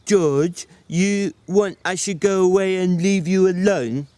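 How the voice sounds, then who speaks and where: A man's voice: high voice, takes it slowly, darkish tone, clean tone, no echo, pitched above the performer's own voice. A man voicing a timid, simple-minded big man who pleads stubbornly and childishly in a dramatic novel reading. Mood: wounded.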